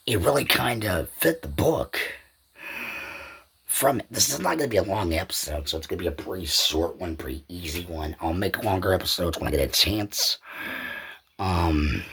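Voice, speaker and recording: A man with a deep and heavily husky voice hosts a solo podcast. He speaks informally and without a script, wandering from point to point and often circling back to repeat himself. The delivery is loose and conversational, with a rough, breathy texture throughout. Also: a bit bright. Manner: rambling, repetitive, informal. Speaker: podcast host. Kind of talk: unscripted solo podcast talk.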